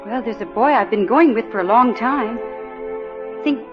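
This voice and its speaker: An actress playing a gentle, wistful young small-town woman courting at the fair in a 1950s musical radio drama. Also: a little high, moderate pace, lightly acted. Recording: dull vintage radio recording with music.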